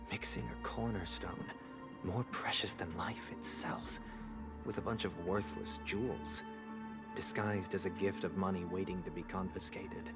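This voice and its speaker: An actor playing a suave, calculating family leader in a video game, speaking formally and softly with menacing politeness. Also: male voice, low voice. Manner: formal, measured, deliberate diction.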